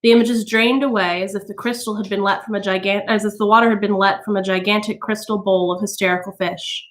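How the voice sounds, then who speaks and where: A woman reading a novel aloud as a storytime narrator, with expressive delivery. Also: medium pitch, fast. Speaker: storytime reader.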